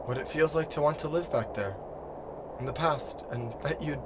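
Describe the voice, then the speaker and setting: A man playing a lonely, guilt-ridden, desperate figure in an audio drama, halting and repeating himself as he pleads. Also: a little high, close to an everyday voice. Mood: pleading. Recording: clear background noise and ambience.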